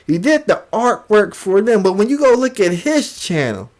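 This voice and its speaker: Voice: medium pitch, big pitch swings, normal speed, darkish tone. A livestream host giving casual commentary in an emphatic way, often repeating phrases for emphasis.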